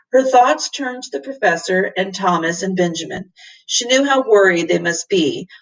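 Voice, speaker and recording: A woman reads a children's story aloud as a teacher would, with expressive narration. Her medium-pitched voice sits a little back from the microphone. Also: vocal fry, slightly rough, far from the mic, poor audio. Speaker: teacher reading to a class.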